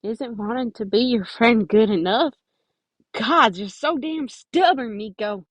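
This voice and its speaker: A woman's voice: a little high, unhurried, no room sound. A woman voicing a stern but caring teenage boy who is earnest and pleading in a story reading. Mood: exasperated, stern.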